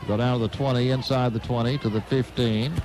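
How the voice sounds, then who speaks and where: A man's voice: mid-range voice, steady tone, a little fast, a little mellow, some chatter behind, rough recording. American man calling football play-by-play. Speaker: radio play-by-play announcer. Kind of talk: live American football commentary.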